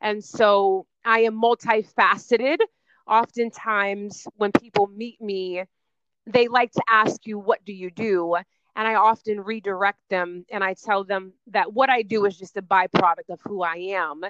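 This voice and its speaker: A woman's voice: medium pitch, fairly even intonation, a little slow, fairly bright tone, clear, resonant tone, no room sound, highs cut off. A woman guest talking about her career in an emphatic, self-assured way in a podcast interview. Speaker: guest, fitness and wellness coach. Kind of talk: energetic interview answers.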